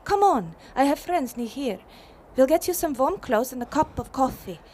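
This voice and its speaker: Warm, proud feminist mother, higher-pitched. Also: expressive, slight background noise. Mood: welcoming. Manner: brisk, welcoming, explanatory. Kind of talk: radio play acting.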